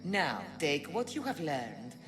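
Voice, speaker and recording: An actress playing a mysterious woman guardian who tests a hero with riddles, in a slow, measured manner. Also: low voice, sing-song, full character voice. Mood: enigmatic. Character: low-voiced woman guardian posing riddles. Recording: game cutscene with loud music.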